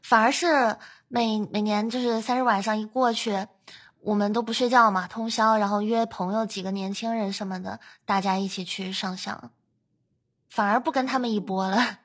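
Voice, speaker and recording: A woman's voice: medium pitch, fairly even intonation, moderate pace. A young adult woman hosting a casual podcast, telling things in a chatty, reflective way.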